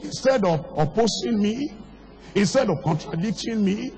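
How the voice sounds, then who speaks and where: Older male pastor, high voice worn hoarse, exhorting a congregation in a big reverberant hall. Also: expressive, normal speed, darkish tone. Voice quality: strained, worn voice. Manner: exhorting, repeating phrases, rhetorical questions. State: hoarse, voice going. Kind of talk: impassioned evangelistic sermon. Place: large hall, preaching to a congregation. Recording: long echoing reverb of a large hall.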